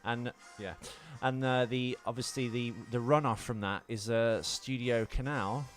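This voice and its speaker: A man's voice: medium pitch, fairly even intonation, unhurried, faint music behind. A man co-hosting a podcast in casual, rambling banter with some swearing. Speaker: podcast co-host.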